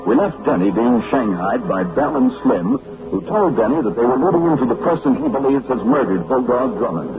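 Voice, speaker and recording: A man's voice: mid-range voice, sing-song, a little fast. A male announcer narrating a 1940s radio drama in a dramatic, declaiming style. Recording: tinny old radio broadcast with loud music.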